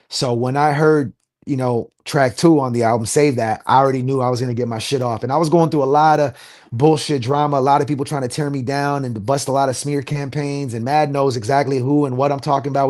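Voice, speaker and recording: A man with a Los Angeles accent talks as a guest, loose and animated, dropping street slang as he goes. His voice sits at an ordinary middle pitch, and he often restarts his sentences mid-thought. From USA. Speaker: guest rapper. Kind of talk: casual interview talk.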